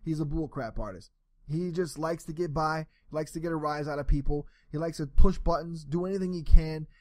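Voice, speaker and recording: A man's voice: fairly high pitch, a little fast, darkish tone, no room sound. A young man from New York giving a solo sports opinion talk, rambling with frequent restarts and repeated words. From New York, USA. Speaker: sports commentary host.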